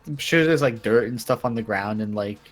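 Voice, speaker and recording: A man's voice: medium pitch, brisk. A man chatting casually as a player in a tabletop game. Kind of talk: casual group game chat. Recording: faint music under the voice.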